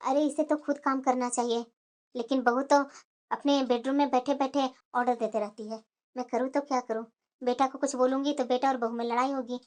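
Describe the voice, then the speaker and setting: A patient middle-aged mother-in-law from a cartoon household, played by a woman. Her voice sits fairly high, coaxing and placating, with a quietly aggrieved, plaintive edge. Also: fairly even intonation, brisk, natural voice. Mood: quietly worried. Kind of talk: cartoon family drama dialogue.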